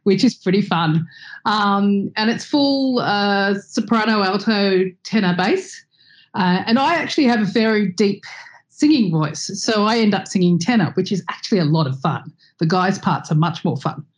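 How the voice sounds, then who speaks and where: A woman's voice: mid-range voice, expressive, moderate pace. A woman, a guest on a podcast, answering in a friendly, chatty way with many ums. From Australia. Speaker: guest expert.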